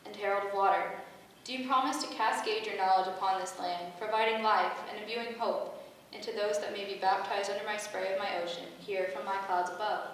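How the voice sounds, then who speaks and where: A woman's voice: mid-range voice, fairly even intonation, brisk, lightly acted. A woman playing a benevolent, motherly goddess with formal, ceremonial oratory in a staged play reading. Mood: solemn. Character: benevolent motherly female goddess, grand and solemn, gathering her heralds. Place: echoey indoor venue at a staged reading. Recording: very echoey room from far off, faint noise.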